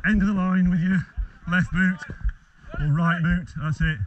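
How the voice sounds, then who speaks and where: A man refereeing a rugby match outdoors, calling loud commands to players with quick apologetic asides. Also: sits high, fairly even intonation, muffled recording. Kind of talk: on-field refereeing calls. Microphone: body-worn camera mic. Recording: distant wind-exposed body-cam audio.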